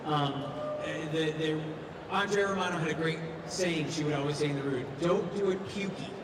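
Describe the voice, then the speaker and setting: A man with a somewhat high voice speaks as a panelist in a big hall, sounding distant and echoey. He talks emphatically, repeating phrases to drive points home. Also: moderate pace, background noise. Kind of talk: animated convention panel talk. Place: large convention hall panel.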